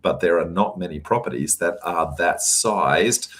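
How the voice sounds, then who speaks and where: A hoarse man answers audience questions, his voice worn as it starts to give out. He talks in a deep, low register. He leans hard on his points, doubling words for stress between frequent ums and uhs. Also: a little slow, fairly bright tone. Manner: emphatic, repeats words for stress, frequent fillers. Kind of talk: live Q&A session, casual advice.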